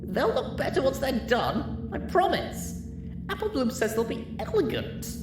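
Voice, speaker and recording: A woman's voice: sits high, wildly swooping pitch, a little slow, full character voice. A woman playing a brash, boastful female pegasus pony in an audio drama, eager and a bit sheepish. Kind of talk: audio drama character acting. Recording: roomy, distant, with music.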